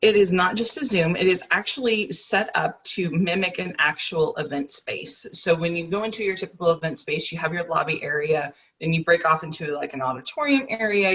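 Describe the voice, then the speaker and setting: Bright, enthusiastic woman on a video call. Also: lowish voice, recorded from a distance, rough recording. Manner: fluent, enthusiastic, well-practiced. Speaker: guest, rare disease advocate. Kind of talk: interview over video call.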